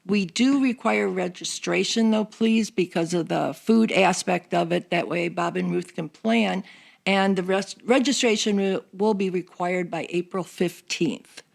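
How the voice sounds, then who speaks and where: A woman's voice: lowish voice, measured pace, creaky. An older woman chatting casually as a guest, announcing details of a church seniors group.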